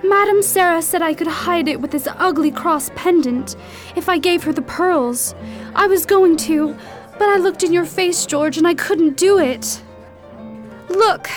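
A woman as very high-voiced anguished young bride-to-be. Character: anguished young bride-to-be confessing a shameful secret. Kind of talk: melodramatic radio drama acting.

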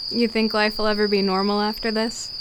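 A woman's voice: medium pitch, flat delivery, a little fast, close to an everyday voice. A woman playing a wistful, affectionate female soldier in an audio drama. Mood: wistful, tender. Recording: clear background noise.

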